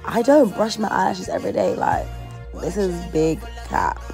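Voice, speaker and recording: Young London woman, lowish voice, chatty. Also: wildly swooping pitch, normal speed, vocal fry, darkish tone, faint music behind. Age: early 20s. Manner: slangy, playful, informal. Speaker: vlogger. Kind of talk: casual, chatty morning-routine vlog narration.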